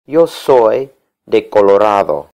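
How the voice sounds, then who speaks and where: Paco, a woman doing a low boy's voice. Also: big pitch swings, takes it slowly, warm tone, full character voice. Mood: plain. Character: schoolboy Paco, young and easygoing.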